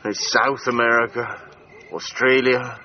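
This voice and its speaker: An actor playing a proud, moody gentleman adventurer in a radio drama. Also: medium pitch, level tone, a little slow, natural voice. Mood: weary, despairing. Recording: dull sound with faint background noise.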